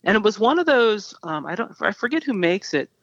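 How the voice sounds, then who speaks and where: A man in his early fifties with a high, bright, forceful voice, talking in a loose, rambling way full of restarts and fillers. The sound is dry and slightly dull, like lo-fi audio. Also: big pitch swings, fairly quick, no room sound. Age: 50s. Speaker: guest musician. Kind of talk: casual interview about guitars.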